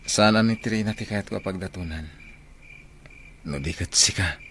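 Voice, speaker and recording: A man's voice: low voice, moderate pace, a bit bright, a little hiss or hum. A man with an Ilocano accent playing an earnest, devoted young man in a radio drama. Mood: earnest, confessing. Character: earnest young man, devoted son in love with his friend. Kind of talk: Filipino radio drama acting.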